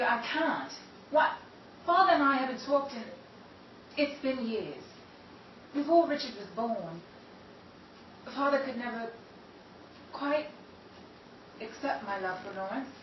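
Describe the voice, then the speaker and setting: An actress playing a bitter, estranged daughter in a 1930s-style radio drama, with sharp, indignant retorts. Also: female voice, fairly high pitch, unhurried, pauses often, echoey room, far from the mic, lo-fi sound, slight background noise, close to an everyday voice.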